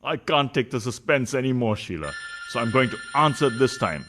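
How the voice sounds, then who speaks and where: A flustered philandering husband in a radio farce. His voice swings widely in pitch as he stammers, pleads and protests with theatrical indignation. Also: sing-song, moderate pace, fairly bright tone, a little hiss or hum. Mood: nervous. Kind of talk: farcical radio play acting.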